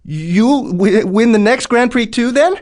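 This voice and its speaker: A man playing an excitable TV reporter in a video game, putting interview questions to race winners in a showy announcer manner. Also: extremely high pitch, unhurried. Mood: nervous, stammering.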